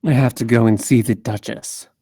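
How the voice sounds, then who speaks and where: A man playing a weary, cynical hard-boiled investigator in a scripted noir table read, speaking in a measured, deliberate way. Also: medium pitch, steady tone, dark tone, husky, dry recording, close to an everyday voice. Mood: flat.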